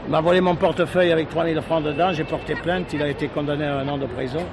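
An actor playing a proud, exacting restaurateur with a talkative, explanatory manner, in a French radio drama. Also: male voice, a little high, steady tone, fast, lightly acted. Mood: wary, scornful. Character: proud, exacting restaurateur showing a young lady around. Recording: outdoor market noise and vehicles behind.

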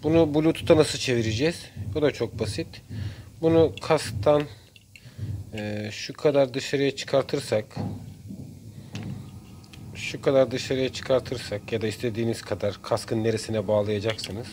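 A man giving a casual hands-on tutorial in short phrases with frequent pauses. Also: mid-range voice, steady tone, a little mellow. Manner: halting, pausing between short phrases. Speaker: DIY video maker. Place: indoors at a workbench. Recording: clear background noise, room ambience.

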